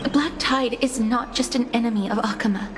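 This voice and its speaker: An actress playing a polite, earnest young woman in a fantasy game, speaking softly in formal, measured phrases. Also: on the high side, close to an everyday voice. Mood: earnest, grave. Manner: formal, courteous, measured speech. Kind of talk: scripted video game acting. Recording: game music playing behind. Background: game music.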